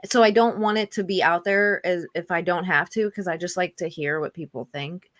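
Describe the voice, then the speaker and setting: A woman's voice: lowish voice, steady tone, fairly quick, no echo, studio quality. A woman in a casual group chat, blunt and jokey, with lots of "like".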